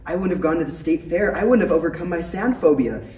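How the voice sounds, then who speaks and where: Young lead: male actor as confident, sincere Jedi apprentice, dark soft tone, parody read in an echoey room. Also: on the high side, expressive, fast talker, lightly acted. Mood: tender confidence. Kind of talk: parody script table read. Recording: echoey room, distant mic, faint noise.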